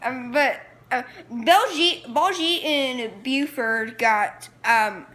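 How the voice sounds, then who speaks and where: A woman's voice: high-pitched, slow pace, fairly bright tone. A woman co-hosting a casual cartoon review, hesitant and steering the talk with questions. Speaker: co-host asking questions.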